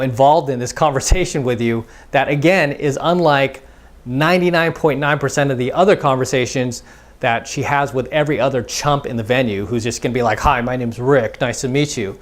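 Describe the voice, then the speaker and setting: A man's voice: mid-range voice, fairly quick. A man coaching as a dating instructor, talking casually, repeating his points and acting out snippets of dialogue. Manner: casual, repetitive, acts out quoted dialogue. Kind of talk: instructional monologue.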